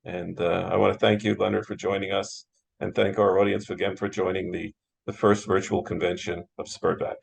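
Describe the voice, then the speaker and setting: A man's voice: sits low, flat delivery, brisk, gravelly, husky. A man moderating a casual interview in an affable, appreciative manner.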